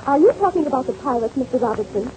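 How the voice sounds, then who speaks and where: A woman's voice: high-pitched, lively intonation, racing, a little mellow, slight background noise, low-quality recording. A woman playing a proud, naive, chatty grown daughter in a 1930s radio serial, on an old recording. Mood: bubbly.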